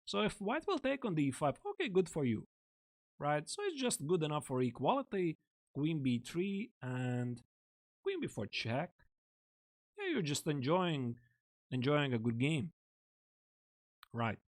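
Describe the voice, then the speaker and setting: A man's voice: fairly high pitch, very animated, a little slow, pauses often. A man coaching chess on a live stream, thinking aloud and chatting casually with viewers in a close desk mic. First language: Latvian. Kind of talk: live-streamed chess lesson, explaining lines.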